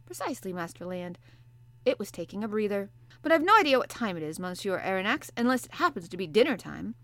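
A woman voicing a calm, polite male manservant who speaks in a measured way in an audiobook. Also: medium pitch, dry recording. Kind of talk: audiobook character voice.

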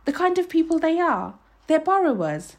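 A woman's voice: extremely high pitch, big pitch swings, moderate pace, voice pushed up, heavily acted. A woman voicing an earnest, nervous young boy in a storybook, helpful and urgent in manner. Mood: tearful.

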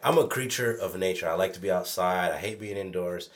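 A man with a low, nasal voice, stuffed up from a cold. He co-hosts a casual podcast among friends, loose and teasing, drifting off on tangents before pulling the chat back on track. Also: fairly quick. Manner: teasing, rambling. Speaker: podcast co-host.

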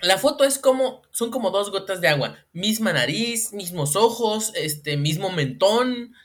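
A man's voice: high-pitched, a little slow. A man with a Mexican accent co-hosting a casual comedic chat about viral news, slangy and laughing often. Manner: animated, slangy, laughs often, mimics voices. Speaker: co-host.

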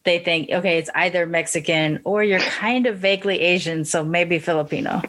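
A woman hosting a podcast, talking things over in a relaxed, reflective way and asking long open questions. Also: on the low side, normal speed. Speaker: podcast host. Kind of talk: casual interview conversation.

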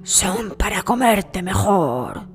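A woman as a slow, sly storybook wolf. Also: medium pitch, big pitch swings, breathy edge, exaggerated voice. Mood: coaxing, menacing. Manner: slow, drawn-out, falsely polite coaxing. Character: sly, sweet-talking male big bad wolf. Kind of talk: children's storybook character voice. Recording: long reverb with music behind.

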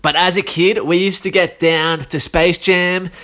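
A man's voice: high-pitched, fairly even intonation, a little slow, very noisy. Slightly fluey Australian man rambling. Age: 30s. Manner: rambling, slangy, many fillers and restarts. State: a bit sick, coughing. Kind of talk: casual solo chat.

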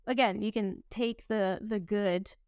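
A woman chatting casually on a podcast, reflective and informal, thinking out loud. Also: mid-range voice, fairly even intonation, unhurried, no room sound, studio quality. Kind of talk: casual conversational podcast chat.

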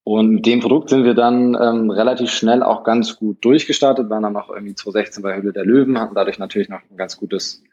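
A man from Hamburg, a startup founder, answering interview questions in a quick, hesitant way full of fillers and restarts. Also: on the low side, fairly even intonation, a little mellow. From Hamburg, Germany. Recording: dull lo-fi sound.

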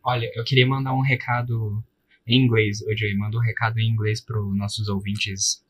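A man's voice: fairly low pitch, level tone, moderate pace, breathy edge. A man with a Brazilian accent hosting a podcast, chatting casually in informal, slangy speech. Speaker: podcast host.

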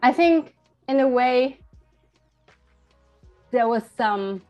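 A woman's voice: fairly high pitch, animated, extremely slow, lo-fi sound, soft background music. A young woman from Germany, a podcast guest talking openly and casually, peppering her speech with "like" and "I think". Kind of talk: casual personal interview.

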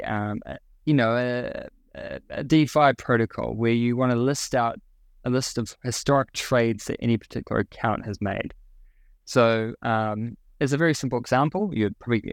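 A man's voice: mid-range voice, dry recording. New Zealand man explaining tech, dry sound. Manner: explanatory, many ums and restarts. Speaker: guest, product officer. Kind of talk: podcast interview.

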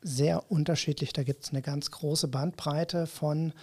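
A man with a mellow, warm voice, pitched a little higher than most men, answers as a guest in a casual interview. He explains things calmly and in a measured way. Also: slightly rough. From Germany. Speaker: media coach, interview guest.

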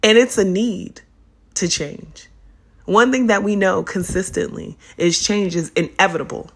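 A young adult woman hosting a casual solo podcast, her voice a little raspy as it recovers from illness, talking in an animated, playful and profane way. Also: mid-range voice, a little slow. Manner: animated, profane, playful, spiritual self-help talk. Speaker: podcast host.

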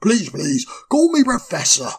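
Storybook owl, old male professor, genial and self-important, low gravelly husk, as a reader puts him on. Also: very animated, a little slow, fairly bright tone, heavily acted. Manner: chuckling, hesitant, fond of "my dear boy". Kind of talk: storybook reading.

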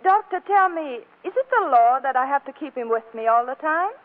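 An actress playing an exasperated, scheming young wife in 1940s screwball radio comedy, scolding or slyly sweet, on a muffled recording. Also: female voice, high-pitched, lively intonation, a little fast. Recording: muffled vintage radio broadcast.

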